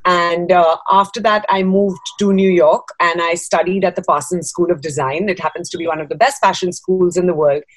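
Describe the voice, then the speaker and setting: A woman's voice: on the low side, steady tone, normal speed, hardly any pauses, no echo. A woman in her thirties from Dubai, giving conversational interview answers on a video call from a room at home. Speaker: guest, fashion designer.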